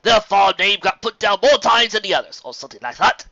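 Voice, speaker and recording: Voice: medium pitch, expressive, brisk, pushed and bright, dry recording, pitched above the performer's own voice. A reader voicing a polite, well-meaning aristocratic stallion who speaks graciously, in a dull lo-fi recording. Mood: courteous. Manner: courteous and gracious.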